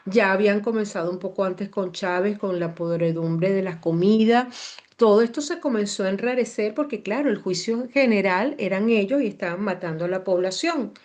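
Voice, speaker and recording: A Venezuelan woman, an astrologer, explaining in an animated, digressive way and repeating words for emphasis. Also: mid-range voice, normal speed. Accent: Venezuelan Spanish. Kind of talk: casual explanatory talk.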